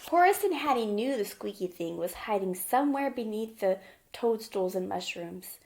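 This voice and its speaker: A woman's voice: mid-range voice, lively intonation, normal speed, a little mellow. A woman with a mid-range voice speaks as a teacher to young children. She reads and explains in simple terms, as in a storybook lesson. She often turns to the listener with a question. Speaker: teacher reading a picture book to children.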